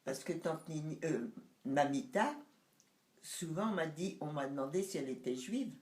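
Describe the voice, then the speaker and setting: A woman's voice: deep voice, expressive, moderate pace, darkish tone, roomy sound. A woman in her nineties, recounting family memories to her son in casual conversation, hesitant and searching for words. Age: 90s. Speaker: mother recalling family memories.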